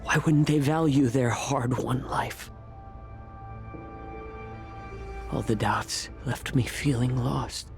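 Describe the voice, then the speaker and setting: An actor playing a weary, grieving but resolute male healer in a video game, speaking in a measured, solemn way. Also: mid-range voice, music in the background, close to an everyday voice. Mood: sorrowful doubt.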